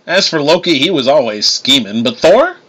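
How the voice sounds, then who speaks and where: Man doing a folksy high-pitched Southern good old boy. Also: very animated, normal speed, fairly bright tone, slightly rough, muffled recording, exaggerated voice. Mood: earnest. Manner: drawling, folksy. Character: folksy older-brother god, male stock-car racer, protective.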